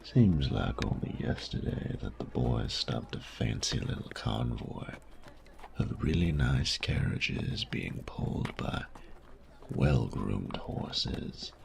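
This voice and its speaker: A man playing a cocky, sadistic bandit in a roleplay audio drama, smug and teasing, with a gravelly voice that often drops to a breathy whisper and taunting, drawn-out phrasing. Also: extremely low pitch, darkish tone, slight background noise, exaggerated voice. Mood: menacing, nostalgic. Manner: slow, taunting, drawling, savoring pauses.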